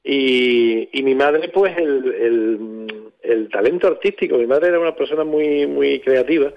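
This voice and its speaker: Guy in his 50s, halting thoughtful interview talk, kinda muffled lo-fi radio audio. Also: medium pitch, creaky. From southern Spain. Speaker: poet and songwriter guest. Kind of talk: reflective interview conversation.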